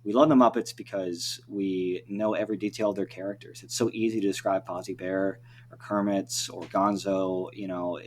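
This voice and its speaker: A man's voice: deep voice, a little mellow. A man speaking as a writer guest in a casual podcast interview, in a rambling, conversational manner. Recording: faint hum behind the voice.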